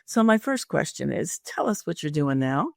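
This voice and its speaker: A woman's voice: low-pitched, sing-song, moderate pace, gravelly, a little mellow, no echo, studio quality. A woman hosting a career podcast, moving between a prepared introduction and friendly interview chat. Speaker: podcast host and career coach.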